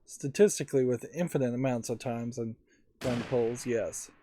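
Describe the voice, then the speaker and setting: A man giving casual, analytical gameplay commentary as a let's play host, musing in a measured way. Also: medium pitch, dark tone, a little hiss or hum. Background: game music.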